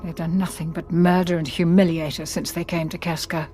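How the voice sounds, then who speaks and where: Woman playing a low-voiced, earnest companion. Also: little pitch movement, a little fast, mellow, close to an everyday voice. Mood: bitter. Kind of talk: audio drama acting.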